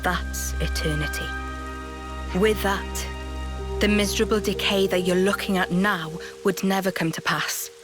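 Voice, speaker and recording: A woman plays a wise young woman in a video game cutscene, speaking in a measured, gentle way at a middle pitch. Game music plays loudly behind her. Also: steady tone, close to an everyday voice. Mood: solemn. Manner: measured, explanatory. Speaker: game character Nia.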